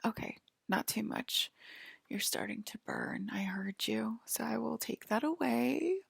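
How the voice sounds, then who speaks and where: A woman softly whispering an ASMR roleplay to the listener as a polite, attentive alien abductor, pausing often. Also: a little high, lively intonation, gravelly, slightly rough, no echo, studio quality. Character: polite, attentive female alien abductor queen acting as medical examiner. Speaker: ASMR performer.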